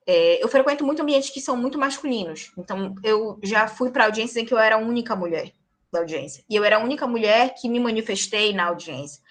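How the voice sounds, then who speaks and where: A woman's voice: a little high, lively intonation, fast. A young woman, a law lecturer and practicing lawyer, giving a class in an emphatic way and checking in with her students after each point. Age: young adult.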